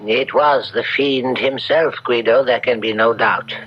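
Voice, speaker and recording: Male actor as paternal, credulous nobleman; unhurried, formal radio-drama delivery on a dull old recording. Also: mid-range voice, fairly even intonation, a little hiss or hum, close to an everyday voice. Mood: astonished, confiding. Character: credulous, kindly Italian marquis, future father-in-law. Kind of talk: 1970s radio drama acting. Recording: dull 1970s radio recording, faint music.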